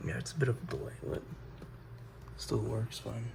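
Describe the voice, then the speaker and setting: A man with a cold gives a rambling home tour, his voice gravelly and raspy. His pitch stays flat and he keeps apologizing, often sinking to a near whisper. It sounds like a phone recording with clear noise behind him. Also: normal speed. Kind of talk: casual home tour vlog. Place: inside a house, moving from room to room.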